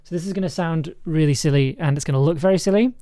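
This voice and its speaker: A woman's voice: deep voice, fast talker, dark tone. A woman chatting casually and playfully on a livestream, thinking aloud as she edits audio. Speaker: streamer and sound designer. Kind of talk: casual livestream commentary while editing audio.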